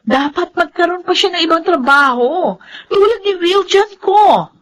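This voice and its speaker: A well-off, meddling wife who brags and coaxes in radio drama style. She is chatty and showy, with a very high voice full of big swings. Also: lively intonation, measured pace, fairly bright tone, heavily acted. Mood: bragging, persuasive. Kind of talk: Filipino radio drama acting.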